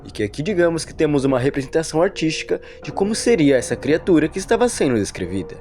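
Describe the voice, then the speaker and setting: A Brazilian man narrates an analysis video in a fairly high voice. His intonation moves up and down a lot as he explains things step by step in a wordy way. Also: sing-song, quick pace.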